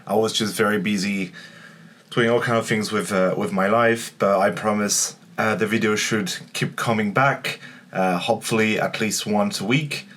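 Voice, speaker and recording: A young man telling about his travels in a relaxed, chatty way, with lots of small fillers. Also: fairly low pitch, normal speed. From Morocco. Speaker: travel vlogger. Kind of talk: casual travel vlog narration.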